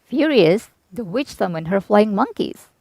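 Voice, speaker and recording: Slow, animated woman storyteller. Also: mid-range voice, dry recording. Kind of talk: children's storybook read-aloud, expressive scripted narration.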